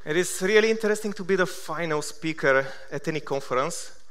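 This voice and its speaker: A man in his thirties from Bulgaria with a Bulgarian accent, giving a conference keynote with self-deprecating deadpan humor. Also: on the high side, brisk, a crowd in the background, background noise. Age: 30s. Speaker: conference speaker. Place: large conference hall.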